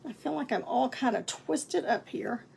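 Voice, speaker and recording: A woman with a slightly raspy voice, chatting through a live painting lesson with many ums and restarts. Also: fairly high pitch, moderate pace, darkish tone, a little hiss or hum. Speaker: painting instructor and shop owner.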